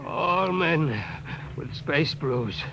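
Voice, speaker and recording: An actor playing a dying space doctor in old radio drama, gasping weakly in broken, halting phrases. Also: male voice, a little high, animated, exaggerated voice. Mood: weak, urgent. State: weak, badly hurt, gasping. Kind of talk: 1950s radio drama acting. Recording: noisy muffled old radio broadcast.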